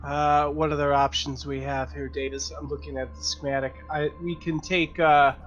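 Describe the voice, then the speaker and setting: A man with a slightly high voice talks casually as a player in a tabletop game. He hesitates as he thinks aloud. The sound is a little dull, with faint background noise. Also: flat delivery, normal speed, fairly bright tone.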